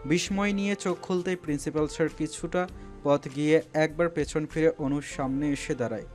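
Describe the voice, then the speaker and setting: A man's voice: fairly high pitch, fairly even intonation, brisk, darkish tone, faint music behind. A man narrating a scripted romantic story in a dramatic storytelling style.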